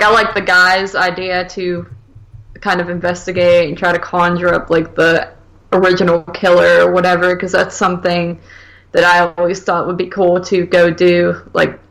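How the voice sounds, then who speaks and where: A woman's voice: fairly low pitch, gravelly, fairly bright tone, rough recording. A woman co-hosting a podcast, chatting casually about films and saying "like" often. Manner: informal, conversational, heavy use of "like". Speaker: podcast co-host. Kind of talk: casual film review chat.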